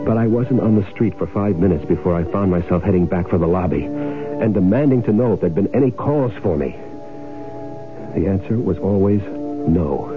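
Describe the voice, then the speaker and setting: A greedy, bossy schemer in an old radio thriller. His lowish voice swings dramatically, impatient and controlling, with music behind a muffled vintage broadcast. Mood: tense, brooding. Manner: domineering, impatient, barking orders. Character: scheming, bossy, greedy husband running an insurance swindle. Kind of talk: old-time radio drama acting, first-person noir narration.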